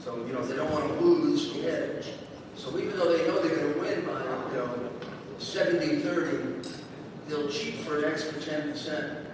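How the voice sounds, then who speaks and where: A man's voice: fairly high pitch, normal speed. An older man telling a rambling story as a guest speaker, full of restarts and asides. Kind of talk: anecdotal storytelling. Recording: echoey hall from far off, background noise.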